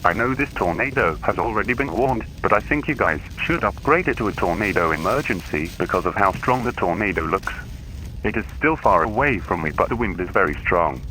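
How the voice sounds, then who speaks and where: A man's voice: lowish voice, animated, a little fast, bright, forceful tone, slight background noise, rough recording. A man playing an earnest storm spotter who phones in a report politely but urgently, in an amateur disaster drama. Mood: concerned, earnest. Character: earnest male storm spotter phoning in a tornado. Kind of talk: acted phone report in a fan disaster scenario.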